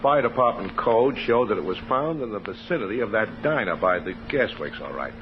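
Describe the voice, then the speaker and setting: A police investigator in a 1950s radio crime show, informal but businesslike. His low voice moves animatedly. The recording is muffled, with clear noise. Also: big pitch swings, a little fast. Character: matter-of-fact male police investigator reporting to his chief. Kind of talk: 1950s radio drama acting.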